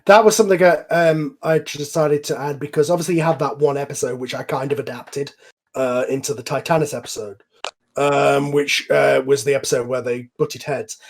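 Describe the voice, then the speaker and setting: A man's voice: mid-range voice, steady tone, normal speed. A man hosting a casual podcast chat over an online voice call, talking in a rambling way. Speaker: host and series creator. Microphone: online group voice call.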